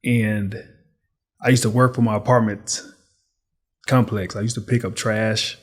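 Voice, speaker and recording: A man from Dallas and Chicago, a retired boxer, relaxed as he tells stories in a podcast interview. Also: lowish voice, unhurried. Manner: relaxed, easygoing storytelling with laughs. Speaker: guest, business owner and retired boxer. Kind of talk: casual interview storytelling.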